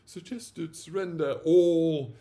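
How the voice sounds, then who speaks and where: A man in his forties reading a comic short story in a theatrical, expressive way, recorded in a small room at home. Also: on the high side, takes it slowly. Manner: theatrical, animated. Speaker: story reader.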